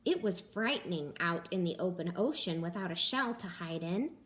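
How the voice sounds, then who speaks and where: A young woman, a teacher, reading a picture book aloud to children at home in a gentle, animated storytelling manner. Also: lowish voice, moderate pace. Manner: gentle, animated storytelling with gasps and character voices. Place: living room at home.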